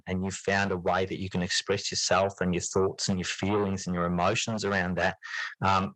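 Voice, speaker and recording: A middle-aged man with a fairly low voice answers as a podcast guest. The sound is very dry, with little room in it. He talks reflectively, circling back on words and softening his points as he goes. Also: no echo, low-quality recording. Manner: hedging, frequent "sort of", repeats words. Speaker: podcast guest, teacher and fiction writer. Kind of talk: reflective interview conversation.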